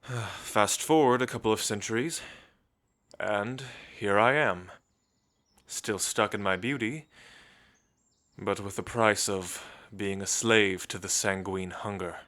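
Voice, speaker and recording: Casting: male actor as languid, world-weary immortal vampire for ASMR roleplay; lowish pitch, very unhurried, teasing elegance. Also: fairly bright tone. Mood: wistful, solemn. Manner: slow, theatrical, suave, with musing pauses.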